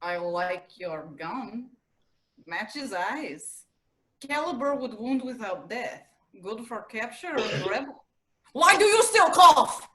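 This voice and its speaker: A woman playing a terse, paranoid female soldier in a comic stage play, speaking in clipped, deadpan sentences without articles. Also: fairly high pitch, takes it slowly, full character voice, poor audio.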